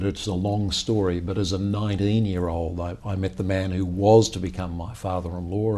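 A man, a company founder telling his story in an office interview, opening answers with "well, look" in a measured storytelling manner. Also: deep voice, dark tone. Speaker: company founder and chief executive. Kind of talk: business interview. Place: high-rise office meeting room with city view. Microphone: lapel mic.

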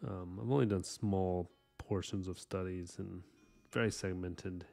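A man's voice: sits low, unhurried, creaky, faint music behind. A man who grew up speaking Korean, chatting loosely with his live chat at an art desk at home while he paints, full of fillers and asides. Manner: relaxed, rambling, many fillers. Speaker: artist streamer.

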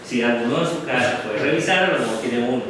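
A man's voice: mid-range voice, animated. A middle-aged man gives a slide presentation in a classroom, talking unhurriedly and often checking in with "ok?". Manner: unhurried, many "ok?" checks with the audience. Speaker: guest lecturer. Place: darkened classroom with projector screen. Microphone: far camera. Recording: very echoey room from far off, noisy.

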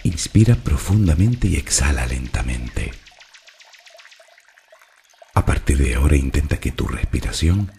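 A man from narrating a guided meditation in a slow, calm, soothing and deliberate way. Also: a bass voice, vocal fry, faint music behind.

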